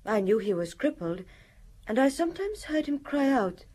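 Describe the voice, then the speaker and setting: An actress playing a forthcoming, slightly gossipy apartment neighbour in a radio drama, polite and frank. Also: female voice, high voice, big pitch swings, moderate pace, dark tone, husky, exaggerated voice. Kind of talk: radio drama acting, witness interview.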